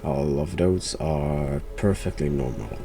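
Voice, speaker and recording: A man streaming a horror game, answering its character with short, deadpan, dismissive replies. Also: very deep voice, takes it slowly, gravelly. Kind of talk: gameplay commentary, replying to a game character. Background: background music.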